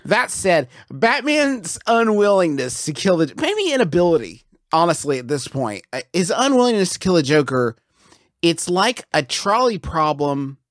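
A man co-hosting a comedy advice podcast, improvising banter in a deliberate, mock-serious manner. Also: on the high side, very animated, no echo, studio quality.

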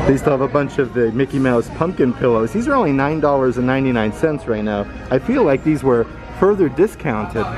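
A man filming a casual shopping vlog, reading out prices with upbeat remarks inside a store. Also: on the high side. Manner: upbeat, reads out prices, frequent "guys" and "look at that". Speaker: vlogger. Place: indoor retail store.

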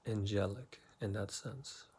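Male meditation guide, very slow delivery with long pauses, lowish pitch, soothing. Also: steady tone, a little mellow, slightly rough.

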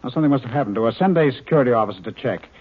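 An actor in a 1950s radio drama playing Doctor Bronson, a male scientist in charge, speaking in crisp, commanding tones. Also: medium pitch, lively intonation, rapid-fire. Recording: tinny old radio broadcast with hiss.

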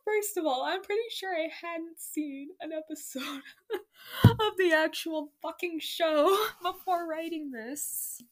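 A woman's voice: very high voice, slow pace. A young adult woman casually reading her own story aloud with self-mocking, incredulous commentary. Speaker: online reader of own stories.

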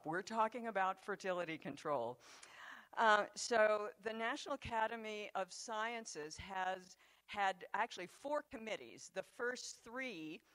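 A woman's voice: mid-range voice, fairly bright tone, poor audio. Older woman lecturing at a conference podium. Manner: measured, conversational lecturing with occasional fillers. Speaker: conference speaker. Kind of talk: scientific conference talk. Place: large conference hall, speaking at a podium before an audience. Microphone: handheld microphone.

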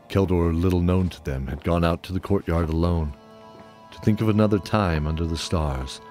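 A middle-aged man hosting and narrating an audio drama in a measured, deliberate way with long pauses. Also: deep voice, a little mellow. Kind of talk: sincere spoken introduction, then scripted fantasy narration. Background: new-age music.

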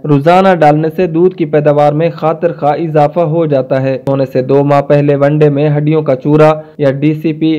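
A Pakistani man narrates instructional material in a formal, scripted way. His voice sits a little high for a man and has a soft, mellow tone. The recording is slightly dull and lo-fi. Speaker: narrator of farming advice. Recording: dull, muffled lo-fi voice-over.

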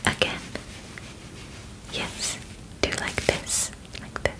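A female alien examiner acted out by a woman in her twenties speaks in a soft whisper, very slowly and deliberately. She is calm and clinical, giving formal instructions up close in the manner of an ASMR roleplay. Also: natural voice, poor audio. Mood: calm, methodical. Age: 20s. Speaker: ASMR performer. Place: bedroom, indoors. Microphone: close microphone.

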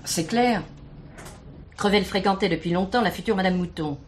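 A woman's voice: medium pitch, normal speed, a little hiss or hum, close to an everyday voice. An actress playing a plain-spoken, gossipy, indignant working-class woman giving testimony in colloquial, insinuating French radio drama style. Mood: scornful, knowing. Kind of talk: French radio play acting.

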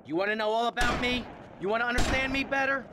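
Casting: curt, menacing American mob boss for a crime game, middle-aged, bitter temper, noisy track. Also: male voice, very high voice, fast. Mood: furious. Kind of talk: video game crime drama acting.

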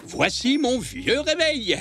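A man's voice: very high-pitched, sing-song, takes it slowly, music in the background, full character voice. A man playing a kindly old zebra grandfather in a French children's cartoon, hesitant and patiently explaining. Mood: patient, cheerful. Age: older.

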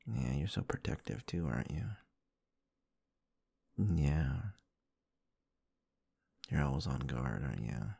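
A man doing intimate roleplay ASMR, murmuring softly and affectionately in a soothing, breathy way close to the microphone. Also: very deep voice, big pitch swings, takes it slowly, long pauses, dry recording. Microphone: close-up microphone, dry.

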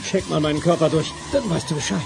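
A man playing a poisoned, weakening action hero in a German radio play, speaking haltingly. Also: a little high, vocal fry, music in the background. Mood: urgent, strained. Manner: halting, stammering delivery. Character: poisoned action hero, gasping, fading but determined.

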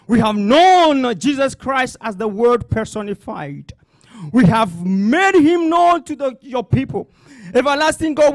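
High-pitched man preaching with a foreign accent. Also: no echo. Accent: non-native English. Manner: fervent, emphatic, repeats phrases. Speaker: pastor. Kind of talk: sermon and congregational prayer.

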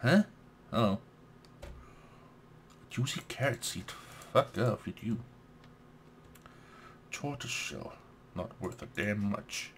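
A young man with a Danish accent, a native Danish speaker, giving casual let's play commentary, excitable, swearing and laughing at the game as he plays. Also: fairly low pitch, slow pace, long pauses, slight background noise. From Denmark.

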